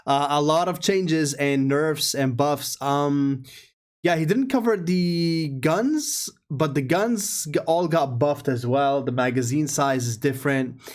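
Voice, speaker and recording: A man's voice: on the high side, takes it slowly. A man giving casual reaction commentary as a gaming YouTuber.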